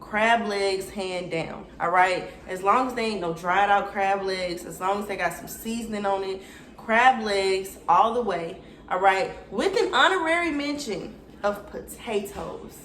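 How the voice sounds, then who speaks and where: Young woman in her twenties, Atlanta accent, relaxed slangy vlogger talking to the camera, echoey room, faint hum behind. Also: mid-range voice, unhurried. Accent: Atlanta Southern US. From Atlanta, Georgia, USA. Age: 20s. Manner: chatty, slangy, addresses viewers directly. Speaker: YouTuber. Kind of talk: casual get-to-know-me Q&A.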